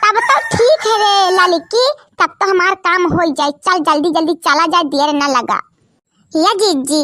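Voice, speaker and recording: Cartoon village woman: extremely high, sharp childlike voice, Awadhi accent, folksy, dramatic delivery. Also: no room sound, clean studio sound, full character voice. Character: village women of a cartoon, coy or scolding, folksy. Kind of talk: cartoon comedy dialogue in rural dialect.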